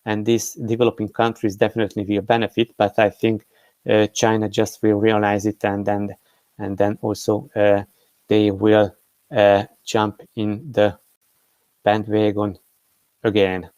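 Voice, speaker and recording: A man talks through the day's news off the cuff, pausing on frequent fillers and restarting his sentences. His voice sits fairly low and comes across smooth and resonant. The sound is close and dry. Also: little pitch movement, darkish tone, dry recording. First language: Hungarian. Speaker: crypto news host. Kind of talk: unscripted commentary on news.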